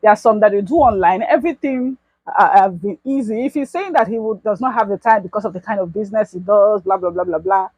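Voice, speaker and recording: A woman's voice: mid-range voice, fast talker, darkish tone, no echo, muffled recording. Chatty Nigerian woman vlogger, dry sound. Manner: chatty, addresses viewers as bestie. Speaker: YouTube vlogger. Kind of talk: casual advice vlog.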